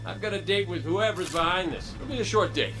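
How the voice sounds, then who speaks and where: A man's voice: high-pitched, fairly quick, background noise, close to an everyday voice. A man playing a cocky wisecracking gunslinger. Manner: joking, cocky, offhand. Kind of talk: video game cutscene acting.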